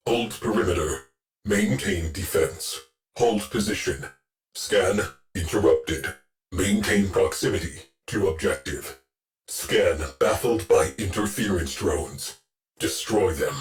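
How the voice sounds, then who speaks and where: Casting: a solemn military ship intelligence in a sci-fi game, terse and stern, deep register, measured delivery. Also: male voice, soft background music, poor audio. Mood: urgent, commanding. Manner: terse, clipped, commanding, solemn. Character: stern, stoic male artificial intelligence commanding a warship. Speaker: ship's AI voice in a video game. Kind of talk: scripted game voice lines, military briefing.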